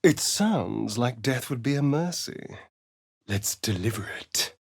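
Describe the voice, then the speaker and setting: Preening vampire elf for a game, theatrical and sardonic, really swoopy pitch, slow drawl, dry close sound. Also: male voice, animated, gravelly, breathy edge, dry recording, full character voice. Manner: arch, theatrical, languid drawl. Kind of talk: video game character dialogue.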